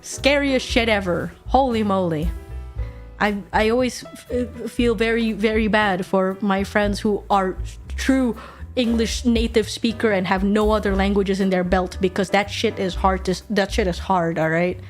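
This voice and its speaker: A woman's voice: medium pitch, fairly even intonation, a little slow, soft background music. A young woman from Indonesia, streaming a casual chat, swearing freely, laughing often and answering her chat.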